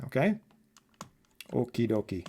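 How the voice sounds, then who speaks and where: A man's voice: medium pitch, big pitch swings, measured pace, darkish tone, husky. Man teaching, conversational professor. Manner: conversational, often adding check words like "right?" and "okay?". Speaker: electronics professor giving a lesson. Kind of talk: informal lecture explaining equations.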